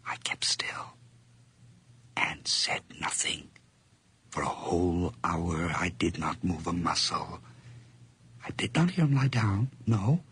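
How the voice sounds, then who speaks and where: A feverish radio-drama killer. Low, breathy, slow, and very expressive. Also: male voice, exaggerated voice. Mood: hushed. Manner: swings from hushed whispers to high-pitched gleeful outbursts. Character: feverish, gloating male murderer narrating his crime. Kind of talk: 1980s radio drama acting.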